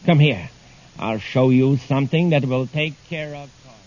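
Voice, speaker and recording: A man's voice: mid-range voice, moderate pace, a little mellow, lightly acted. A man acting a scheming, coolly ruthless racketeer who owns a farm, in 1950s radio drama style. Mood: urgent, calculating. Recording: dull, hissy old radio recording.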